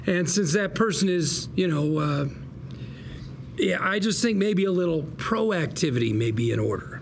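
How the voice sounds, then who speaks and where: An actor playing a glib, eager small-time lawyer at a table read, pitching hard in salesman patter with small hesitations. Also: animated, normal speed. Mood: persuasive. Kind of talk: acted dialogue at a table read. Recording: noticeable background room noise.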